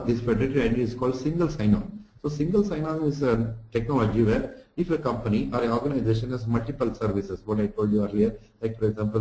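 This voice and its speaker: Male online-course lecturer, medium pitch, dark relaxed timbre, didactic delivery with examples and recaps. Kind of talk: slide lecture for an online lesson. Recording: echoey room sound.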